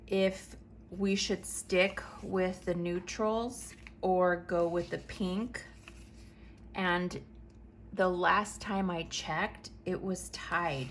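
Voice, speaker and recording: Sick woman vlogger, lowish raspy voice, chatty and rambling, light hiss behind. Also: fairly bright tone. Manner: chatty and rambling, frequent ums. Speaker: planner vlogger. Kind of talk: chatty plan-with-me vlog.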